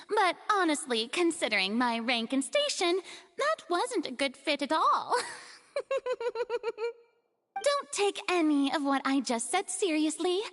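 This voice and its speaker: High-voiced, theatrical young goddess. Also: female voice, fairly bright tone, in a large hall, full character voice. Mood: playful, haughty. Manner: theatrical, haughty, dramatic pauses. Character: proud theatrical young female god of justice, secretly burdened. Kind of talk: video game voice acting.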